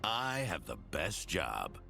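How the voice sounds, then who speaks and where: An actor playing a cocky, vain executioner for a video game, swaggering and mocking. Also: male voice, low-pitched, wildly swooping pitch, takes it slowly, vocal fry, pushed and bright, soft background music, heavily acted. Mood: smug.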